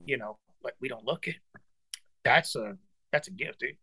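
A man's voice: medium pitch, normal speed, creaky, bright, forceful tone, slightly rough, no echo. A man of fifty, a guest musician chatting casually and earnestly, calling his host brother and dude. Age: 50s. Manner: earnest, encouraging, says brother and dude a lot. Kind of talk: casual chat.

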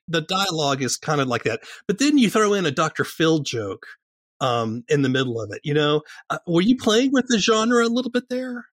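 A man's voice: mid-range voice, a bit bright, no echo, studio quality. A man hosting a casual podcast interview, agreeable and conversational.

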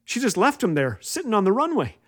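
High-pitched man telling wry, playful stories. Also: huge pitch swings, a little fast. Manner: wry, playful, acts out quoted lines. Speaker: host of a humorous inspirational radio show. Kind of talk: scripted comedic storytelling monologue.

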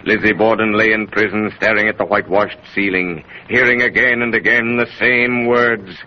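A man acting as a solemn, ominous mystery narrator, dramatic and deliberate, in 1930s radio style. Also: fairly low pitch, fairly even intonation, close to an everyday voice. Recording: muffled, noisy 1930s radio recording.

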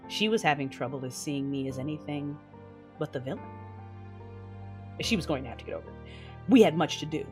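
A woman playing a proud, defiant Sith lord who narrates her own story in a science fiction audio drama, intense and deliberate. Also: low-pitched, lively intonation, over music. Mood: cool, wry narration.

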